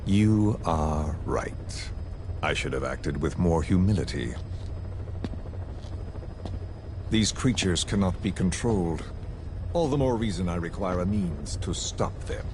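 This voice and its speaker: Super low villain voice, cold n polite, dramatic game interrogation, noisy background. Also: male voice, animated. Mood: measured, sinister. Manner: measured, menacing, falsely polite, theatrical. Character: cold, calculating male villain interrogating a captive. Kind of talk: dramatic video game voice acting.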